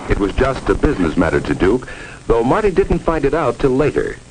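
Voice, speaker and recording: A man's voice: medium pitch, huge pitch swings, a little fast, noisy background. A man narrating a 1950s educational film in a grave, dramatic, moralizing manner. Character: stern moralizing narrator of a drug warning film. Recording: old film soundtrack with music. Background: music.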